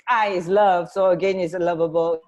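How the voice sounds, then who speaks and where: A woman co-hosting a radio show in casual chat, halting as she searches for words. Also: fairly low pitch, fairly even intonation, crowd noise.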